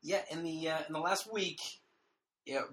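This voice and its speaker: A man with a hoarse voice, co-hosting a casual comedy podcast with wry, deadpan jokes. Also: on the high side, normal speed. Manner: wry, deadpan jokey delivery with frequent restarts. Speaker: podcast co-host.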